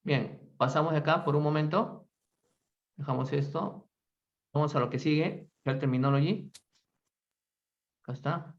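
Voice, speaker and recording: Brisk male English teacher, lo-fi call. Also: fairly high pitch. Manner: brisk, quizzing students in Spanish. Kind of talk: online class, calling on students.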